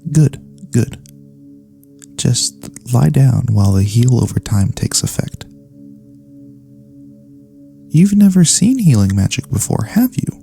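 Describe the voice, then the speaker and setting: A man playing a gentle, caring elf cleric in a soft-spoken ASMR fantasy roleplay, soothing and attentive, with slightly formal phrasing. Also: medium pitch, gravelly. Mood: gently reassuring. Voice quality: soft-spoken, breath-light delivery. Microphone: close studio USB condenser microphone.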